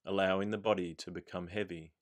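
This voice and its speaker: A man narrating a guided meditation as the guide, in a calm, soothing, measured manner with gentle instructions. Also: deep voice.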